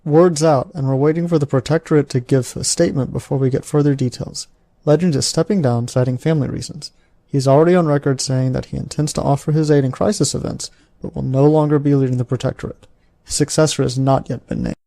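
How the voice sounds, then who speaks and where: A man's voice: mid-range voice, fairly even intonation, quick pace, gravelly, mellow, no room sound, natural voice. A man voicing a businesslike internet forum moderator posting news in an audiobook. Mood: matter-of-fact. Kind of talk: audiobook character acting.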